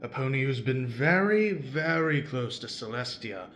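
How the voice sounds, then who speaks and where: A man's voice: mid-range voice, animated, measured pace, fairly bright tone. A man playing a scheming, persuasive pony agitator in a fan radio drama, with rhetorical delivery.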